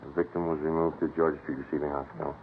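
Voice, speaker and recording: A man's voice: extremely low pitch, little pitch movement, racing. An American man playing a terse, matter-of-fact police detective sergeant in a 1950s radio drama, speaking in a clipped, businesslike way. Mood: methodical. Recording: muffled, noisy old radio recording.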